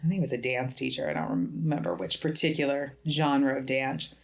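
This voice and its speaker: A woman's voice: a bass voice, steady tone, fairly quick, creaky. A woman in her early forties from central Pennsylvania, chatting as a podcast guest, wry and joking as she tells stories. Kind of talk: casual chat.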